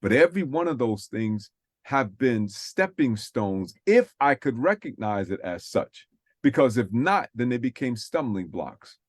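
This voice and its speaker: A man talks earnestly in a preacherly way and leans on repeated phrases. His mid-pitched voice sounds dry and close, with no room around it. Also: big pitch swings, measured pace, no room sound. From New York City. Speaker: radio show host. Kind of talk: conversational faith talk.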